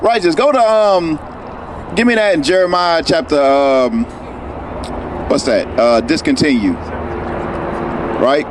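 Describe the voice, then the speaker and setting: Brief: male street preacher, high voice, keeps asking "right?", loud traffic noise. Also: takes it slowly, long pauses. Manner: questioning, tags lines with "right?". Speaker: street teacher. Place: outdoors on a city street.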